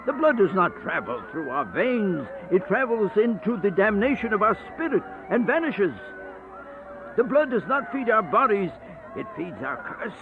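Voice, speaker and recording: Old ailing vampire, world-weary, theatrical, very animated high pitch, radio horror drama, thin narrow-band sound. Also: male voice, noisy background. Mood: scornful, exasperated. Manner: theatrical, declamatory, drawn-out phrasing. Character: aged, sickly first male vampire, bitter, grandiose, world-weary. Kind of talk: radio horror play acting. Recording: thin phone-like band-limited audio with music.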